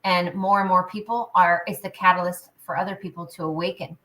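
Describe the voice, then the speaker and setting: Lively spiritual healer woman guest. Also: lowish voice, brisk. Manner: energetic, many fillers and restarts. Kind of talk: interview answers, spiritual talk.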